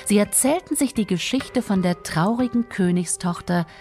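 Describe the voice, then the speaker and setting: A woman narrating a fairy tale in a measured, expressive way, lingering on descriptive words. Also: mid-range voice, soft background music. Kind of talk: scripted storytelling narration.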